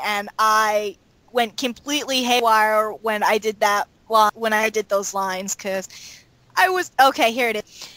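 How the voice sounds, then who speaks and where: A woman talking casually as a cast member in an interview, animated and emphatic when retelling things. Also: a little high, no echo, poor audio. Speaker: audio drama cast member.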